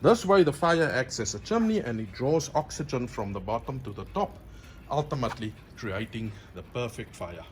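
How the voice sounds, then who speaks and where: A middle-aged South African man with an Afrikaans accent talks to the camera in a chatty, friendly way, calling his viewers friends. His voice sits at an ordinary middle pitch. He is recorded outdoors, with a faint hiss of open air behind him. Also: expressive, moderate pace. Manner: chatty, warm storyteller addressing viewers as friends. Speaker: cooking vlogger. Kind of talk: friendly outdoor cooking tutorial. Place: outdoors at a braai fire.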